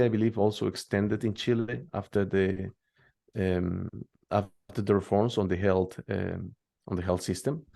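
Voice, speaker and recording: A man from Peru with a tongue, answering interview questions in a hesitant way, full of pauses and restarts. His voice sits low and has a gravelly edge. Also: a little mellow. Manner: hesitant, many fillers and restarts. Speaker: guest expert.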